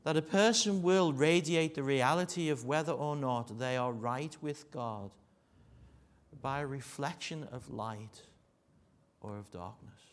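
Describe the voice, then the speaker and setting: A middle-aged man with a Liverpool accent delivers a morning sermon. He mixes self-mocking asides with earnest, slower warnings and lets his voice sink low and quiet on the serious points. His pitch sits in an ordinary middle range. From Liverpool, England. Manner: jokey asides, then earnest and dropping to a hush. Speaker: preacher.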